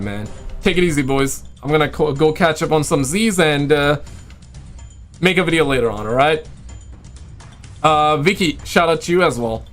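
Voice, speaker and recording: A man's voice: a little high, fairly bright tone. A man hosting a casual livestream, rambling in slang and repeating his phrases, with a voice that is starting to give out. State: losing his voice. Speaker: livestream host and video creator. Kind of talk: casual livestream chat with viewers.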